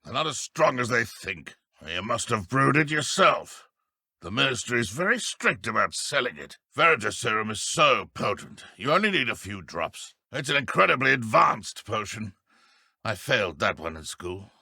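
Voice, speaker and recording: An actor playing a smug, cold-blooded dark wizard henchman in a video game, with a British accent and a haughty, menacing manner. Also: medium pitch, very animated, moderate pace, gravelly, husky, dry recording, clean studio sound, full character voice. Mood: smug, conversational. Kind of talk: video game character voice lines.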